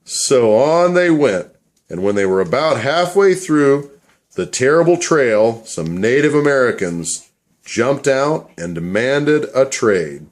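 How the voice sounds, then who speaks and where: A man's voice: mid-range voice, sing-song, slow pace. A man reading scripted storybook narration for a puppet show.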